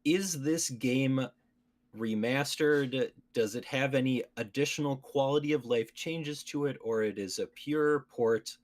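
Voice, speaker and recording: A man's voice: mid-range voice, unhurried. A man co-hosting a podcast, debating casually and insistently clarifying his points. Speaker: podcast co-host.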